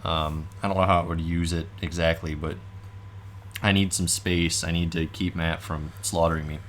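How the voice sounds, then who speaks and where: A young man, slightly hoarse, casually thinking aloud and explaining board game tactics with many fillers. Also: low-pitched, little pitch movement, moderate pace, a little hiss or hum. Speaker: board game show co-host.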